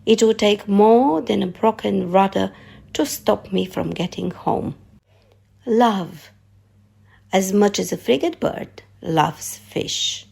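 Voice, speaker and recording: A woman's voice: mid-range voice, slow, vocal fry, darkish tone, slightly rough, heavily acted. A woman voicing a plucky, affectionate seafaring father's letter in short, matter-of-fact phrases. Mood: wry, reassuring.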